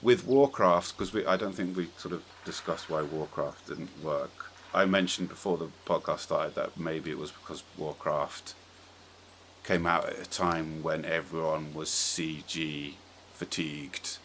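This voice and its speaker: A man's voice: a bass voice, fairly even intonation, unhurried, fairly bright tone. A man hosting a casual podcast discussion, thinking aloud and hedging as he goes. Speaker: podcast host. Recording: clear background noise.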